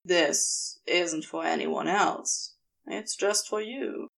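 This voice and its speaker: Casting: devoted male lover for audio fiction, patient and protective, dropped pitch, firm intimate reassurance woman performs him. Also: slow, gravelly, fairly bright tone, exaggerated voice. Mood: gentle, reassuring.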